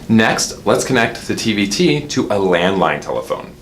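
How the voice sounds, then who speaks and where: A man's voice: on the low side, very animated, moderate pace, slight background noise. A man giving a casual instructional product tutorial, explaining things step by step. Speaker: product demonstrator.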